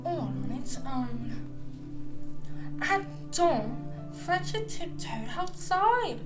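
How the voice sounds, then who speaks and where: A woman hosting a children's storytime, reading a picture book aloud in a slow, expressive, sing-song way. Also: high-pitched. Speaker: storytime host. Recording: roomy distant sound with loud music. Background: loud music.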